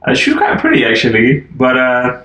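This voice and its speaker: A man's voice: medium pitch, huge pitch swings, a little fast, sharp tone. A man in his twenties from Albania, a podcast guest chatting casually and loosely, full of fillers. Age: 20s. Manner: laid-back, rambling, lots of fillers and "like". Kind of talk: casual chat. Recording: echoey room, faint noise.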